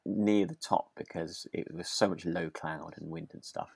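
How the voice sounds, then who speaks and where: A man with a low voice talks casually as a podcast co-host. He feels his way through sentences, rephrasing often, and the sound is close and dry. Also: fairly even intonation, gravelly, a little mellow, husky, no room sound. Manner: halting, trailing and rephrasing. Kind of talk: casual chat recapping a radio drama.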